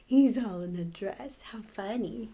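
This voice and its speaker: A woman's voice: medium pitch, measured pace, dark tone. An older woman reading a storybook aloud to children, expressively, with exclamations and questions to the listener.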